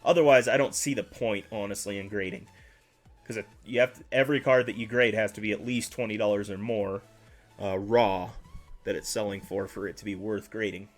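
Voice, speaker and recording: A man's voice: fairly low pitch, steady tone, fairly quick, soft background music. A man hosting a casual livestream, chatting with viewers in a rambling way and correcting himself as he goes, a little congested and nasal. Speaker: card-collecting livestream host.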